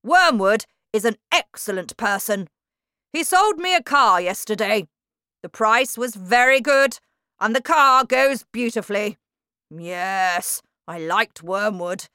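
A woman voicing a huge, furious head teacher who shouts in a loud, dangerous voice. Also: mid-range voice, expressive, slow, clear, resonant tone, no echo, clean studio sound, pitched above the performer's own voice. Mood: angry shouting.

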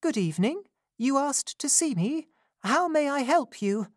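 A woman voicing a kind, gentle young lady who speaks softly in a storybook reading. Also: high voice, big pitch swings, unhurried, no echo, studio quality, voice pushed up. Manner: soft and gentle.

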